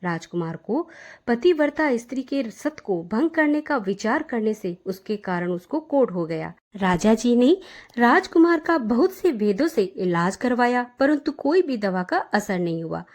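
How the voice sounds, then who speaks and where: A woman narrating devotional folk tales in an expressive storytelling delivery. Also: on the high side, a little slow.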